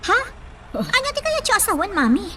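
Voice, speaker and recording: An actress with a Filipino accent playing a demanding, suspicious young daughter in a radio drama. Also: female voice, very high-pitched, huge pitch swings, brisk, slight background noise, full character voice. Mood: alarmed. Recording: distant mic under loud music.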